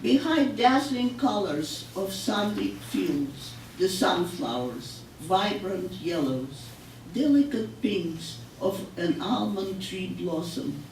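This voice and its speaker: An older woman reading her poetry aloud in a measured, solemn way with deliberate pauses. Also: mid-range voice, expressive, darkish tone. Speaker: poet. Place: public library room, live reading. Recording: echoey room, distant mic, noisy.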